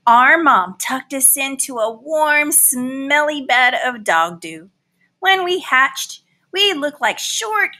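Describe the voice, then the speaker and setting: Woman as a boastful, very animated storybook housefly. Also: a little high, heavily acted. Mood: matter-of-fact. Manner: boastful, theatrical, scornful of butterflies. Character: boastful, butterfly-envying male housefly, a proud old father. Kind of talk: animated children's picture book read-aloud.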